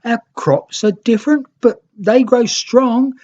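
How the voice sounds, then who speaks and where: A narrator as a very high-pitched, earnest little creature. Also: slow pace, darkish tone, dull audio. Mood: earnest pleading. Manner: earnest, exclaiming, plaintive. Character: small, weary, hopeful band of seafaring storybook creatures.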